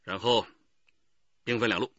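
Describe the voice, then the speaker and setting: Deep-voiced, commanding police detective chief. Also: male voice, fairly even intonation, bright, forceful tone, dry recording, highs cut off. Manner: authoritative, deliberate. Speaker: actor. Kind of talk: Chinese radio crime drama acting.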